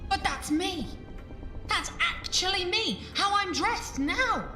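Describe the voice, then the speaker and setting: Woman acting bossy, high-pitched, exasperated sceptic. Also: lively intonation, slow, pushed and bright, full character voice. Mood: shocked. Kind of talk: audio drama acting. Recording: roomy echo with music underneath.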